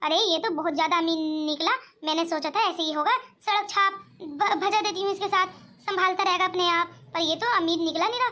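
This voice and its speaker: A woman's voice: extremely high pitch, brisk, bright, forceful tone. A woman voicing a bossy, scheming village mother-in-law in an amateur cartoon comedy, nagging and muttering. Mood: grudging, envious.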